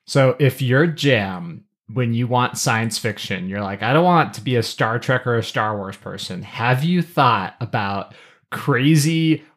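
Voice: medium pitch, animated, a little slow. A podcast co-host chatting casually, adding wry, playful commentary and banter.

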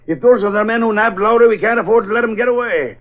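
Actor as a high-voiced blustering police sergeant. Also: male voice, fast, lightly acted. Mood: urgent, commanding. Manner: gruff, sardonic, blustering. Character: gruff, blustering Irish police sergeant, mocking and bossy. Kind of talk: 1940s radio acting. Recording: thin, phone-like vintage radio recording.

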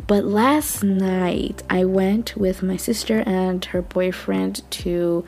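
A young woman from Southern California hosting a podcast in casual, bubbly chat, her voice a little raspy from just waking up. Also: medium pitch, steady tone, slow pace, slight background noise. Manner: chatty, bubbly, laughs often, quiet morning talk. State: slightly raspy morning voice. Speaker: podcast host.

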